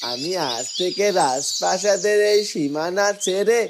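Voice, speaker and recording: A remorseful cartoon animal, acted by a man, with a high voice and mournful, self-blaming delivery. Background noise and chirping are clearly heard. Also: lively intonation, unhurried. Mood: mournful shame. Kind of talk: cartoon character acting.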